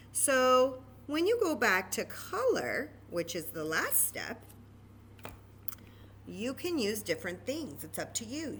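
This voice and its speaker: Woman, very expressive pitch, patient craft instructor for kids, informal step-by-step delivery, slight background noise. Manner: informal, patient, reassuring, repeats and stresses key words. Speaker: children's story time presenter leading a craft. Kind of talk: step-by-step craft tutorial for children.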